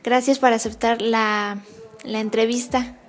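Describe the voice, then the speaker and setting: A woman's voice: on the high side, level tone, measured pace. A young woman with a Mexican Spanish accent hosting her own channel, asking a guest questions in a friendly, polite way. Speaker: interviewer and channel host. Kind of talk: casual interview.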